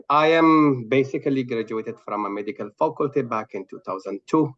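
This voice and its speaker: A man with an Afghan accent, introducing himself on a video call, halting with many fillers. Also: lowish voice. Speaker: committee member. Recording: muffled video call audio.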